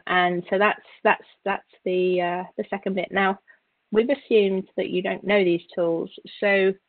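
A woman instructor giving an informal software tutorial, explaining step by step with halting, repeated words. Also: lowish voice, no echo. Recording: narrow, phone-like call audio.

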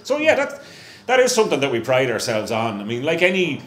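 A man's voice: mid-range voice, huge pitch swings, a little fast. A middle-aged Irish man chatting on stage at a live event, self-mocking and full of restarts. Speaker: brewery representative, guest. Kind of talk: casual onstage chat. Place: small live venue room with stage. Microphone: handheld mic on stand. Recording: echoey room, faint hum.

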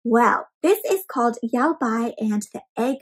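A young woman hosting an interactive read-aloud for small children, expressive and full of questions addressed straight to her listeners. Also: fairly high pitch, measured pace, husky. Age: young adult.

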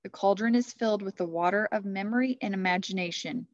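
A woman's voice: mid-range voice, quick pace, lightly acted. Storybook knight, male, stern and declamatory, performed by a woman; slightly dull recording. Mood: solemn, kinder. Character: commanding, booming male Christmas knight in a fantasy tale. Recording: muffled, echoey classroom webcam audio.

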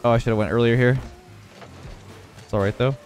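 A young man with a congested voice giving relaxed running commentary on his own video game match. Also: mid-range voice, brisk, faint music behind. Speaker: gaming video creator. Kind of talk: live gameplay commentary.

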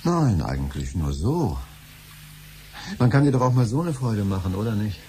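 A frustrated middle-aged dad in an old german radio play, deep mellow voice, pushy and grumbly. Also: male voice, big pitch swings, moderate pace, slight background noise. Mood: coaxing. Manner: grumbling, insistent.

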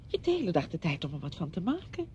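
An actress in a Dutch radio play, playing a brisk, patronising woman who fusses chattily over a widowed friend. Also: wildly swooping pitch, mellow. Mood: fussing, disapproving. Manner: chatty, fussing, condescending. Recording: dull radio recording with rumbling noise.

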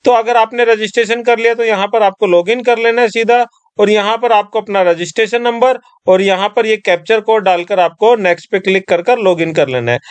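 A man with a high, clear voice walks the listener through an online application one step at a time. He keeps it instructive and calls his viewers friends. The sound is dry and close, recorded at a desk mic in a quiet room. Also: dry recording. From Rajasthan. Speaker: tutorial presenter. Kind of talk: screen-recorded tutorial voice-over.

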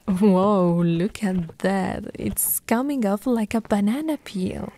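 A woman playing an affectionate, teasing lamia girlfriend in an ASMR roleplay, soft and cooing, often slipping into whispers. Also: mid-range voice, expressive, slow. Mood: playful, wry.

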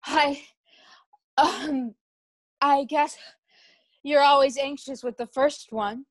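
Young mother-to-be, female actor: slightly high, nervous, grateful, hesitant, radio drama delivery, dry sound. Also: steady tone, slow, dry recording. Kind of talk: 1940s radio sitcom acting.

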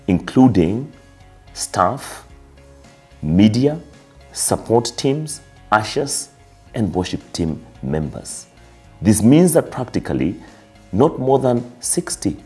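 A middle-aged man with a Kenyan accent delivers a formal, prepared pastoral address. His low voice is dark and soft-edged, with lively rises and falls across carefully measured phrases. He speaks as a pastor to his congregation, deliberate and orderly. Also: breathy edge. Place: office, seated at a desk indoors.

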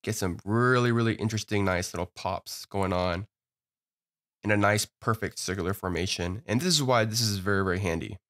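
Relaxed, low-voiced man explaining software. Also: brisk. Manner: relaxed, upbeat explaining with frequent "you know" and "uh". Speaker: software tutorial host. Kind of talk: screen-recorded software tutorial.

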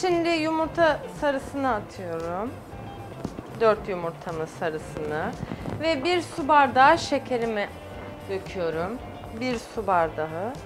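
High-pitched middle-aged woman cooking on a tv set, walks through every step, music under her. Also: sing-song, takes it slowly. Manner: explains steps as she works. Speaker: guest chef. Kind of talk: cooking demonstration. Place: TV studio kitchen set.

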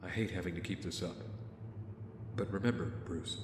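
Man acting guarded rich young man, deep voice, measured, formal, audio drama, long reverb. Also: fairly even intonation, background noise, natural voice. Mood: brooding. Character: brooding, guarded young billionaire with a secret mission. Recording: roomy reverb, faint music behind.

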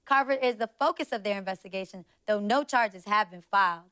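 A woman's voice: mid-range voice, a little fast, a bit bright, natural voice. A woman playing a formal TV news anchor. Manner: crisp, formal newsreading. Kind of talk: in-game news report.

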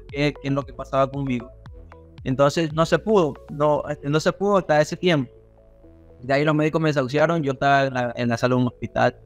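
A young man from Ecuador with a coastal Ecuadorian accent, telling his story casually and haltingly as an interview guest on a video call. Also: a little high. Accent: Ecuadorian coastal Spanish. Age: young adult. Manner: halting, repeats words as he goes. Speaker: podcast guest, rapper. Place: small indoor studio room on a video call.

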